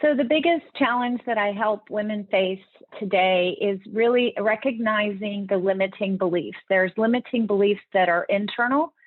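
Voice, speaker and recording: A woman's voice: mid-range voice, normal speed, dry recording. A woman guest talks earnestly through her points, explaining step by step and restarting phrases as she thinks. Her voice comes over a thin, phone-like remote line with no room sound at all. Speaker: podcast guest, leadership speaker. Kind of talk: interview answers.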